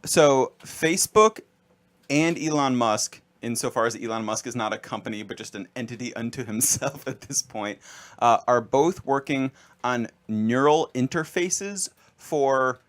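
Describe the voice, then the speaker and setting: Male radio co-host, mid-pitched, wry and explanatory, casual banter. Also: measured pace. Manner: wry, explanatory, riffs on tech news.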